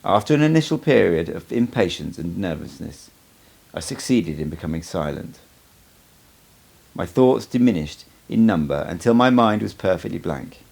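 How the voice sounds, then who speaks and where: A man narrates a first-person story from a written text. His voice sits low, with plenty of fry in it, in the style of an amateur audiobook reader. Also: darkish tone.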